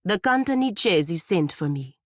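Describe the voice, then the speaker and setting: A woman's voice: lowish voice, expressive, normal speed, dry recording, clean studio sound. An actress playing a proud, stern patriot warrior woman in a fantasy video game, speaking formally and earnestly. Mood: formal, reserved. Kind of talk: scripted video game character dialogue.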